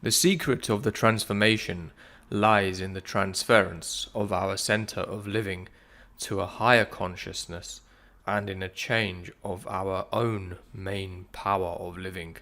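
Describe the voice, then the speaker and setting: A man reads philosophical prose as a solemn, measured narration, pausing deliberately between phrases. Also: sits low, steady tone, pushed and bright. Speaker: narrator of a spiritual audiobook. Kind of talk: scripted reading of philosophical prose.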